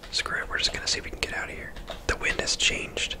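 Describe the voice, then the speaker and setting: Whispered ASMR gaming commentary. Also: fast. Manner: casual, chatty. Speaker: video game streamer.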